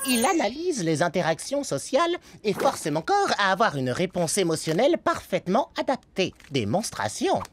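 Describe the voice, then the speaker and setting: A woman's voice: medium pitch, huge pitch swings, normal speed, few pauses, faint music behind. A woman voicing a brainy, pedantic young male microbe schoolboy in a French cartoon comedy, given to precise words and theatrical delivery. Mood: matter-of-fact, clinical. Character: brainy, coldly rational young male microbe schoolboy, later over-emotional. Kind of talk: French animated comedy dub.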